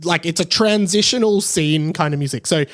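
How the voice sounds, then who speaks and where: Aussie guy podcasting on his own, slightly high voice, rambly casual chat. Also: moderate pace, fairly bright tone. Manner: rambling, conversational. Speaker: podcast host. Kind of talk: casual solo podcast chat about TV shows.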